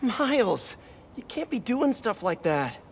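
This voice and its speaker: A man's voice: very high-pitched, very animated, slow pace, slight background noise, exaggerated voice. An actor playing a young, wisecracking male superhero and earnest mentor, in glib conversational video game voice acting. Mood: earnest, caring. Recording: loud music behind the voice.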